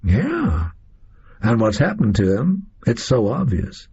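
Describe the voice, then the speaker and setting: A man's voice: medium pitch, huge pitch swings, slow pace, darkish tone, slightly rough, exaggerated voice. A man voicing a tough, sardonic gunman who drawls in casual slang. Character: tough American male gunman, blunt and sardonic. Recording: muffled lo-fi recording.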